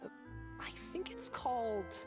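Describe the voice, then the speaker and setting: An actress playing a friendly, slightly awkward young operative in a video game, chatty and a little hesitant. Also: female voice, fairly high pitch, big pitch swings, a little slow, music in the background. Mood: friendly, curious.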